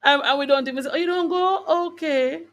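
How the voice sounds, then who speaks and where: A woman's voice: sits high, fairly quick. Emphatic woman hosting a wellness talk. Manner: emphatic, repeats phrases for stress, preacher-like; conversational, addresses listeners with rhetorical questions. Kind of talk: live talk to online viewers, reading out comments.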